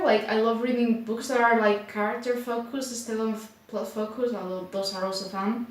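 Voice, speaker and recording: A young woman from Spain speaking English with a Spanish accent, chatting casually to camera in an unhurried way, full of fillers like "like". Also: a little high, darkish tone. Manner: unhurried, many filler likes, slightly unsure. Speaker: booktuber. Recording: very echoey room.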